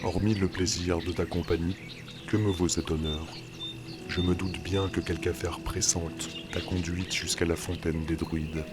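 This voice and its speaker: A man playing a calm, loyal druid guardian in a fantasy audio drama, speaking in a measured, courteous way. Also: deep voice, lightly acted. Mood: friendly. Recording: loud music behind the voice.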